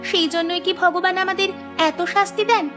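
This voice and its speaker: A woman's voice: very high voice, little pitch movement, a little fast, over music. A woman voicing a poor, sad and frightened young village girl in a cartoon, with a plaintive manner. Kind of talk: dubbed cartoon dialogue.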